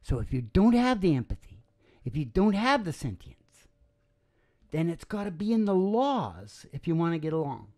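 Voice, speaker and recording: A man's voice: on the high side, very animated, moderate pace, mellow. A middle-aged man speaks close to a stand microphone as a music show host. He delivers a casual, philosophical sermon. His manner is folksy and preacherly, explaining things in short, emphatic phrases. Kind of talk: informal philosophical sermon between songs. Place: small wood-panelled room.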